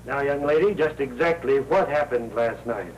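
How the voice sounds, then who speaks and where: An actor playing a middle-aged American newspaper boss, blustering and easily indignant, in a 1940s Hollywood film. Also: male voice, medium pitch, animated, normal speed, muffled recording, close to an everyday voice. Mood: gruffly kind. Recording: noisy old 1940s film soundtrack.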